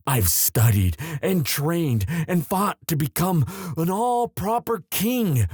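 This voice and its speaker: A man playing an earnest, lovestruck young prince in an audio drama, speaking formally and haltingly as he implores his father. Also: on the high side, a little mellow, slightly rough, no echo, studio quality, exaggerated voice. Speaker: voice actor in romance audio roleplay. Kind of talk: dramatic one-sided audio roleplay.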